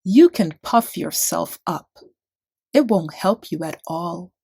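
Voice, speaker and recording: A woman's voice: fairly low pitch, animated, slow pace, vocal fry, darkish tone, slightly rough, no room sound, studio quality. A woman storyteller reading a rhyming children's book aloud expressively.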